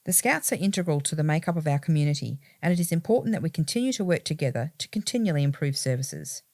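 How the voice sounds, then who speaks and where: A woman's voice: low-pitched, expressive, fast, darkish tone, dry recording, studio quality. A woman reading quoted official statements aloud from a script for a news article. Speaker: volunteer reader of quoted statements.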